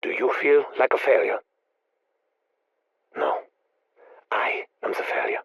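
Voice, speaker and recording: An actor playing a grieving, obsessive male scientist, speaking in a measured, brooding, confessional way in video game audio logs. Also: medium pitch, very animated, creaky, a bit bright, husky, dry recording, studio quality, heavily acted.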